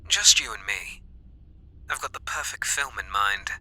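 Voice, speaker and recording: A British man playing an obsessive, seductively playful masked killer, taunting in whispery, drawn-out questions close to the mic in an ASMR roleplay. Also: fairly low pitch, lively intonation, bright, forceful tone, heavily acted, low-quality recording. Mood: playful, menacing. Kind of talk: ASMR roleplay, intimate monologue. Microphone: close microphone.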